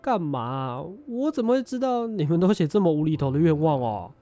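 A man's voice: a little high, big pitch swings, a little fast, mellow. A man acting in an audio drama as an earnest, sheepish young dorm mate, speaking Taiwanese Mandarin. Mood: mildly exasperated.

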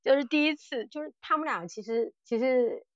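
A woman co-hosting a casual podcast chat, telling stories in an animated way and often repeating her phrases. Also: high-pitched, moderate pace, no room sound, muffled recording. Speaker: podcast co-host. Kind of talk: casual chat about audio dramas.

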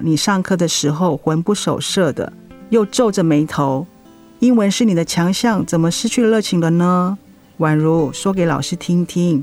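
Gentle, patient woman teacher, acted. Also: on the low side, close to an everyday voice. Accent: Taiwanese Mandarin. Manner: gentle, coaxing. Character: caring, patient female high school homeroom teacher. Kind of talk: radio drama acting.

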